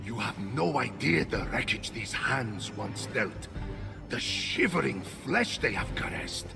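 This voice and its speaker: An actor playing a blustering, theatrical war god trapped in a chicken's body, in an animated video game. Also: male voice, medium pitch, slow pace, gravelly, music in the background, exaggerated voice. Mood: earnest, dramatic. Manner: theatrical, pompous, self-dramatizing. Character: war god stuck as a chicken, blustering, dramatic, easily flustered.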